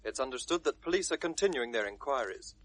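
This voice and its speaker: A man's voice: medium pitch, animated, quick pace, a bit bright, close to an everyday voice. An actor playing a formal British male radio news bulletin reader in a 1970s radio drama. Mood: brisk, impartial.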